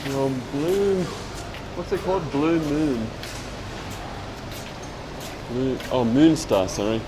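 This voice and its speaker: Australian man vlogging with loud hum behind. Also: takes it slowly. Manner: relaxed, low-key commentary. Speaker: travel vlogger. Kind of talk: casual travel vlog narration. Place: workshops and rooms in a weapons factory.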